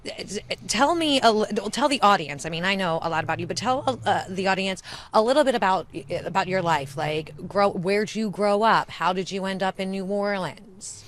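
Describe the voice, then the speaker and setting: A woman interviewing a guest in casual chat, talking in a halting way with frequent restarts. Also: medium pitch, animated, slight background noise. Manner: halting, lots of fillers and restarts. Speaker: interviewer, theater group member.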